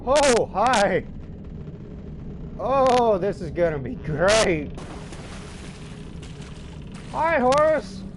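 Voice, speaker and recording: A man's voice: sits high, sing-song, very slow, pauses often, a little hiss or hum. A man giving casual, playful gameplay commentary, poking fun at himself and often exclaiming. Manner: playful, self-mocking, exclamatory. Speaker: video game streamer or let's player.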